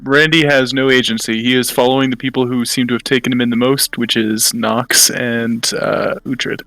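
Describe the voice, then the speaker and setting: A man's voice: medium pitch, little pitch movement, moderate pace, a bit bright, poor audio. A man speaking casually as a player in a tabletop roleplaying game. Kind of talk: casual chat.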